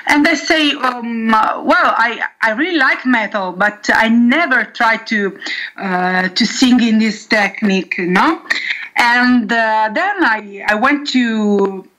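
A young woman speaking English with an Italian accent answers a metal interview haltingly, full of pauses and restarts. Her pace is very slow and her tone is bright. She sounds like a singer talking about her band. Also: a little high, low-quality recording. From Italy. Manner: halting, many fillers and restarts.

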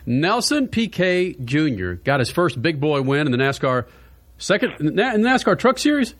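A man's voice: on the high side, very animated, normal speed, fairly bright tone, no echo. Brash, joking radio host man. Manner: brash, joking, swears freely. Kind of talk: loud casual radio chat.

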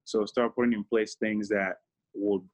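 A man in his thirties, a guest in a casual interview, speaking in a relaxed, reflective way with many fillers. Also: on the low side, a little slow.

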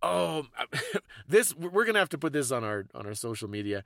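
A man's voice: a little high, sing-song, normal speed, gravelly, no echo, studio quality. A man hosting a podcast, explaining things in a casual, thoughtful conversational way. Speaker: podcast host.

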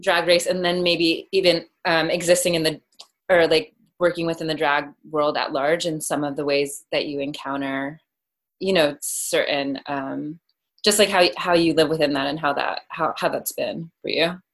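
Interviewer: American woman, deep-pitched for a female voice, informal, tentative, feels out each question aloud. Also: fairly even intonation, moderate pace. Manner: tentative, rephrasing questions as she goes. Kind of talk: casual, conversational interviewing.

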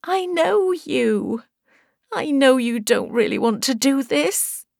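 Lady doing a scared young woman begging for her life in an audiobook, crying, slow n high, really swoopy. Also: animated, gravelly, a little mellow, no room sound, studio quality, heavily acted. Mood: desperate pleading. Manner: pleading, halting.

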